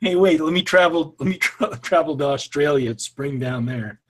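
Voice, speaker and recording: A man's voice: on the high side, normal speed. A man in his twenties co-hosting a casual podcast, joking and laughing often. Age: 20s. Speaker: podcast co-host. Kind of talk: casual comedic chat.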